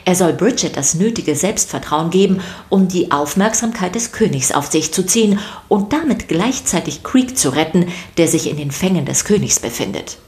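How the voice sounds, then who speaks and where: A woman's voice: on the low side, expressive, normal speed, a little mellow, soft background music. A woman narrator reading a scripted podcast for children.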